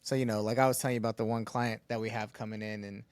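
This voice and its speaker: A man's voice: on the low side, little pitch movement, rapid-fire, a little mellow, smooth, no room sound. A man co-hosting a casual podcast, talking business bluntly and swearing. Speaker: podcast co-host, studio owner.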